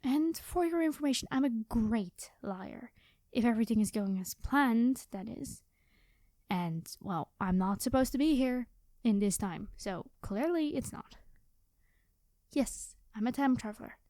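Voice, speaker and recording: Woman acting a quirky, high-voiced time traveler. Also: animated, unhurried, warm tone, no room sound, clean studio sound. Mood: sheepish. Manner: rambling, flustered, hesitant, cheery. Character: quirky, chatty young woman time traveler, secretly from the future. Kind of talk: ASMR storytelling roleplay, one-sided conversation.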